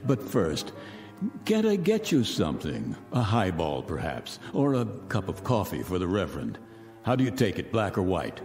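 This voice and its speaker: A man's voice: low-pitched, wildly swooping pitch, normal speed, darkish tone. An actor playing a bitter, vengeful man who once was a disgraced soldier, taunting his guests with silky, theatrical menace in a radio drama. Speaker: host of the gathering. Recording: reverberant radio drama with music.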